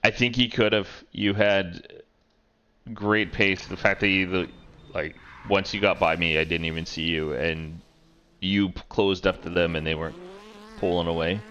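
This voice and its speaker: A man's voice: deep voice, fairly even intonation, normal speed, a bit bright, lo-fi sound, slight background noise. A man streaming sim racing, casually talking through a race replay and thinking aloud with many fillers.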